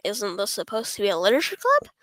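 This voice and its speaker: A woman streamer reading a visual novel aloud with casual asides, halting and stumbling over the lines as she goes. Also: mid-range voice, wildly swooping pitch, fairly bright tone, no echo. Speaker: gaming streamer.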